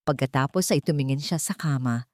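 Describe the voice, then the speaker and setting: A woman's voice: a bass voice, brisk, a little mellow, dry recording, clean studio sound. A woman narrating a scripted dramatic story as its storyteller.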